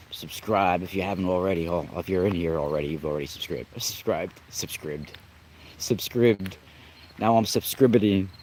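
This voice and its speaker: A man's voice: sits low, darkish tone, slight background noise. A young adult man with a cold and a slightly nasal voice, chatting casually on a livestream as host and spiritual reader, rambling and restarting often. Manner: rambling, restarts often, greets chat viewers. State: congested with a cold, coughing.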